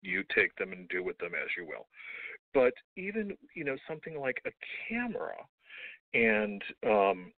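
A male radio host chatting in an effusive, halting way, repeating himself, over a phone-like line. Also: fairly low pitch, wildly swooping pitch. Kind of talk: casual radio interview. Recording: phone-like narrow band line.

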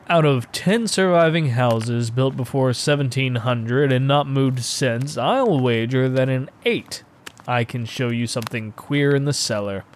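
A man's voice: mid-range voice, slight background noise, lightly acted. A man reading a horror story aloud as an impassioned, scornful, secretive painter obsessed with macabre antiquity, in a theatrical, emphatic manner. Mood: scornful, insistent. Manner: theatrical, emphatic, declamatory, with exclamations. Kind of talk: dramatic audiobook reading of horror fiction.

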